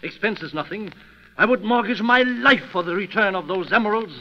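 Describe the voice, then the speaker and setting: An actor playing an anguished, wealthy French duke in florid, theatrical 1930s radio style. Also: male voice, high voice, animated, fairly quick. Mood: anxious. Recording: muffled old 1930s radio recording.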